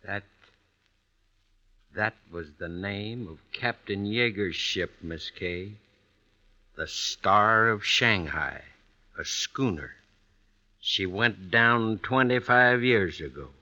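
An actor playing an obliging, plain-spoken caretaker in an old-time radio mystery drama. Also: male voice, fairly low pitch, takes it slowly, long pauses, pushed and bright. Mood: uneasy, grave.

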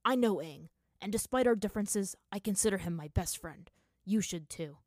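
A woman's voice: medium pitch, animated, normal speed, a little mellow, dry recording, clean studio sound, lightly acted. A woman voicing a stern, scarred man who gives insistent, impatient guidance in a story. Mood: earnest. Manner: clear, unchallenging, insistent. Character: stern scarred older young man, firm, impatient guide.

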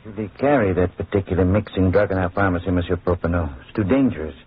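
A careful, businesslike pharmacist in a vintage radio drama. He speaks quickly in a deep voice. The old recording is muffled and tinny. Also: husky. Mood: matter-of-fact. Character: cautious, businesslike French pharmacist.

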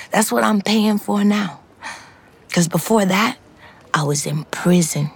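Hardened New ex-convict, middle-aged woman, confiding and intense, mid-pitched, for scripted audio drama. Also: creaky. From New York City, United States. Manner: conversational, profane, intense. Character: hardened, streetwise female ex-convict telling her story. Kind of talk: confessional audio drama monologue.

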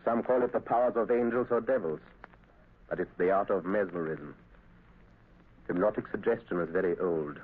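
Old, thin-sounding radio recording of a villainous doctor. His voice is low and slightly rough. He speaks formally and deliberately, with silky, polite menace. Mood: calm, intrigued. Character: suave, sinister male doctor and sabotage mastermind. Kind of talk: 1930s radio drama acting. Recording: muffled scratchy 1930s radio recording.

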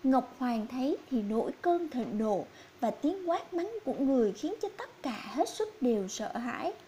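A woman's voice: on the high side, mellow, a little hiss or hum. A woman narrating scripted fairy tales for young children as a storyteller.

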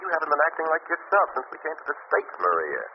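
A man announcing and acting in 1940s radio drama style, dramatic and often shouting. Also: fairly high pitch, huge pitch swings, quick pace. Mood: uneasy. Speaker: announcer and actor. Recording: muffled old radio broadcast with hiss.

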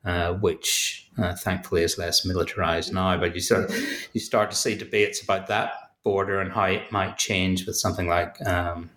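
A man's voice: deep voice, steady tone, moderate pace, hardly any pauses, a bit bright, reverberant. A man with a Belfast accent, an author giving thoughtful, hesitant interview answers full of fillers and restarts. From Northern Ireland. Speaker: author and academic guest.

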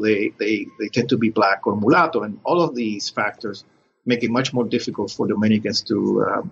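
A middle-aged man from Cuba and Puerto Rico, an academic guest explaining his research in measured, halting phrases with frequent pauses. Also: fairly low pitch, vocal fry, a bit bright. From born in Cuba, raised in Puerto Rico. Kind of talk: explanatory interview talk. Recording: dull lo-fi sound with background noise.

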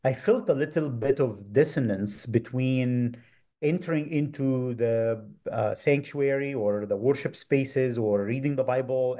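Man from Egypt, middle-aged, mellow, hesitant, many fillers. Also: mid-range voice. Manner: thoughtful, many ums and uhs. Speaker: guest author, Old Testament professor. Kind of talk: academic interview answers.

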